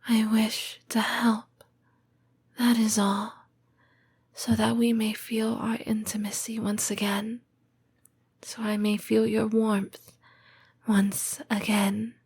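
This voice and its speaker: Lamia girlfriend, female actress, ASMR roleplay: tender and lonely, hushed breathy whisper, drawn-out hesitant pacing. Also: a little high, monotone, exaggerated voice. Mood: tender, reassuring. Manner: slow, hesitant, intimate delivery with long pauses. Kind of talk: soft-spoken ASMR roleplay.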